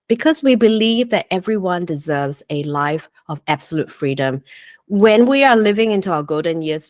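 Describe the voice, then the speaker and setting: A woman's voice: low voice, dry recording, clean studio sound. A woman in her forties from Singapore, a health coach giving a live online presentation on a video call in an earnest, chatty way, full of fillers and "okay?" check-ins. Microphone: computer mic on a video call.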